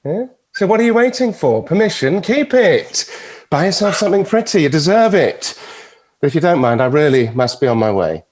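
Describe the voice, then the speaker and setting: An actor playing a suave, mischievous devil with a silky, teasing, theatrical manner at a table read. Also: male voice, on the high side, very animated, moderate pace, darkish tone. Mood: seductive, teasing. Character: suave, mischievous devil, a retired Lord of Hell.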